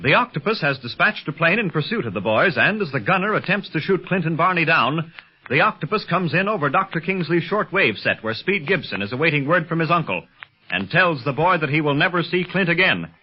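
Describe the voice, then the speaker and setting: Old radio announcer guy, animated and husky, dramatic serial recap, muffled sound. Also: a little high, a little fast. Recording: muffled 1930s radio recording, faint music.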